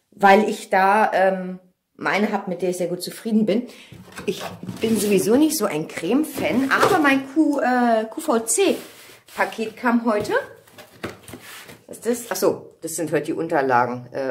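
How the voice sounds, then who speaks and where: A woman's voice: mid-range voice, slight background noise. A woman in her thirties from Germany chats casually to her vlog, rambling and thinking aloud, recorded on a phone at arm's length indoors at home. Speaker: vlogger. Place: indoors at home, kitchen, hallway and bathroom.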